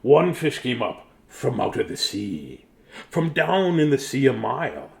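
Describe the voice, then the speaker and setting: Animated man reading a gravelly bedtime story. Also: medium pitch, measured pace, slight background noise. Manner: silly, jokey, sing-song reading cadence. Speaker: storyteller and host. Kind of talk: playful bedtime story reading with joking asides.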